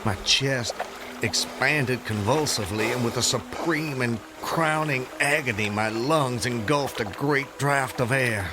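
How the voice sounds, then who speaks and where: An actor playing a condemned man in a radio drama, solemnly and intensely recounting his hanging in formal, deliberate, literary language. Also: medium pitch, background noise, natural voice. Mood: anguished. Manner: formal, deliberate, vividly descriptive. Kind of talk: radio drama acting, literary first-person storytelling. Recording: music under the voice. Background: background music.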